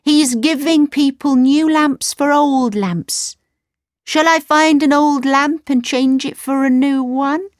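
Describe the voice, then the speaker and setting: An eager young palace servant girl from a storybook, as a woman reads her. Her voice jumps up high and excited. The recording is dry and close. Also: takes it slowly, dry recording.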